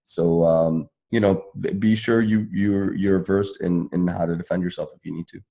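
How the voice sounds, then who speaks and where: A man with a husky voice speaking emphatically as an activist panelist, explaining things off the cuff. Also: low voice, fairly even intonation, normal speed, dark tone. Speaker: panelist, civil rights attorney and activist. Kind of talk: spontaneous panel talk, advocacy.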